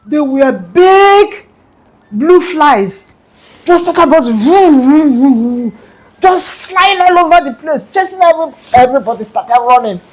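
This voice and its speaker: Animated woman telling a folktale. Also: high-pitched, poor audio. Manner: lively, animated telling with sound effects. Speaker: storyteller. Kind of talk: oral folktale narration.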